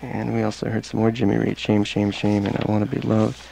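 Gravelly, monotone male radio DJ. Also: lowish voice, a little fast. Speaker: radio DJ and interviewer. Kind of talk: casual radio interview. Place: radio studio. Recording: noisy old radio aircheck.

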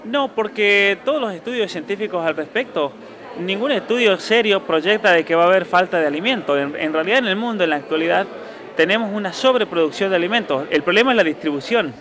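Young male, Argentine accent blurred by years abroad, slightly high voice, very quick delivery, earnest interviewee. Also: expressive, fairly bright tone. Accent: Argentine, softened by years abroad. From San Juan, Argentina. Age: young adult. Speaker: author being interviewed. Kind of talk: interview answers.

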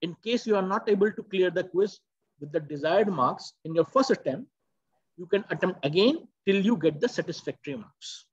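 A man's voice: high-pitched, lively intonation, moderate pace, breathy edge. A man hosting a webinar in a polite, formal way. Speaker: webinar host. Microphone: video call. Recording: dull lo-fi video call audio.

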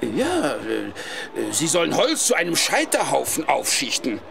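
An actor playing an excitable male onlooker at an ancient arena, exclaiming as he gawps, in an audio drama. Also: a little high, unhurried, creaky, a crowd in the background, exaggerated voice. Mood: gawping, amazed. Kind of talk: German audio drama acting.

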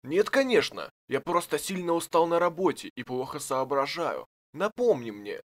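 An actor voicing an easygoing, absent-minded daddy pig in a children's cartoon, musing and hesitant in an expressive way. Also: medium pitch, normal speed, lightly acted. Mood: sheepish, puzzled.

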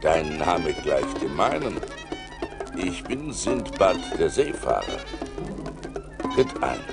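Seasoned seafarer, dignified storyteller, gravelly creak, slow expressive delivery, radio play. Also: male voice, extremely low pitch, over loud music, heavily acted. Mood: commanding, hospitable. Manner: deliberate, expressive. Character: wealthy seasoned seafarer recounting his adventures, dignified.

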